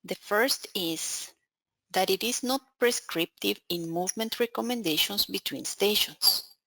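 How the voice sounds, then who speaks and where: A woman with a Spanish accent reads a prepared webinar introduction in a careful, steady voice. The sound is dry and close, with no room around it. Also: mid-range voice, no room sound, rough recording. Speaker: account manager presenting a webinar. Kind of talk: scripted webinar presentation.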